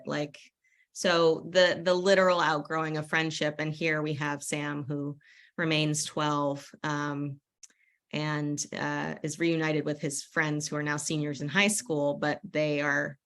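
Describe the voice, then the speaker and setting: A middle-aged woman with an American accent talks casually about her writing. Her voice sits quite low yet has a clear bright edge. She rambles playfully, slipping into self-deprecating asides. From United States. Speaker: author. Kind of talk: casual author panel talk.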